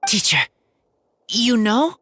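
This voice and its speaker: A woman voicing a shy, earnest feral boy in a video game, speaking haltingly in short broken phrases. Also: on the high side, sing-song, a bit bright, slight background noise, heavily acted.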